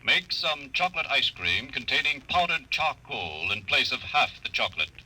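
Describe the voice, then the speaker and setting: Piercingly bright-voiced, impassive computer. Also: male voice, mid-range voice, normal speed, breathy edge, heavily acted. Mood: impassive, matter-of-fact. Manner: flat, formal announcer-like delivery. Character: emotionless computer logic answering service questions. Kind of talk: 1950s radio drama acting. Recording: noisy old radio broadcast recording.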